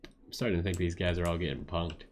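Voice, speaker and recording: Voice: deep voice, steady tone, fast. A game streamer giving casual playthrough commentary in dry, sceptical asides.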